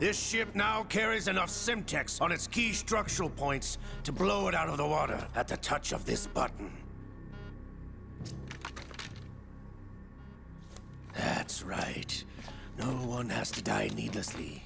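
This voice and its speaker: Sly old gunslinger villain for a game, creaky voice, very animated, mocking and theatrical. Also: male voice, a little high. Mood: menacing. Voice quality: gravelly. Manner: sardonic, drawn-out, theatrical. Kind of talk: video game voice acting. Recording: music behind the dialogue.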